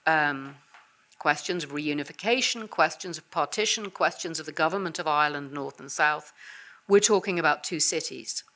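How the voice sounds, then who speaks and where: Woman, English accent, low voice, academic speaker, conversational, thoughtful. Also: a bit bright. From England. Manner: thoughtful, conversational lecturing with frequent "uh" and "you know". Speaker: visiting professor and guest speaker. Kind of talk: academic seminar talk and answering questions. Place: university seminar room.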